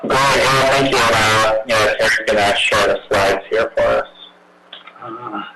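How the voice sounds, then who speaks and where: A man's voice: fairly low pitch, little pitch movement, unhurried, very noisy. A man presenting on a video call, talking hesitantly while he sorts out screen sharing.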